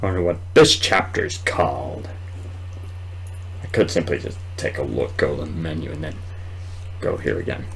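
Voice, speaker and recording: A young man giving casual, excitable commentary while playing a horror game, muttering and exclaiming to himself. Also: rumbling low, normal speed, vocal fry, long pauses, a little hiss or hum, rough recording. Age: young adult. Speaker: gamer recording a let's play. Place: small indoor room at home.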